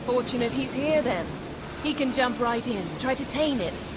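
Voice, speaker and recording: An actress playing a self-assured, imperious sorceress in a video game, composed and curt. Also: female voice, fairly high pitch, normal speed, very noisy, lightly acted. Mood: cool, wry. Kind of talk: video game cutscene acting. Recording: loud music and effects behind.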